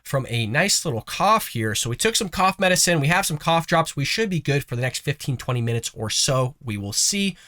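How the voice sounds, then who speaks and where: Guy hosting his political show, stuffy nose from a cold, bright punchy voice, a bit high. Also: brisk, hardly any pauses. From United States. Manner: lively, folksy, sarcastic asides. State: congested, recovering from a cough. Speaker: host of a conservative news show. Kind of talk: casual political commentary.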